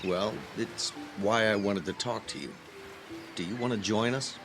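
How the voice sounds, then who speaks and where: A man's voice: on the low side, normal speed, music in the background, close to an everyday voice. An actor playing an earnest, hesitant young male graduate student activist in a radio drama. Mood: earnest, confiding. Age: young adult. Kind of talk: naturalistic radio drama dialogue.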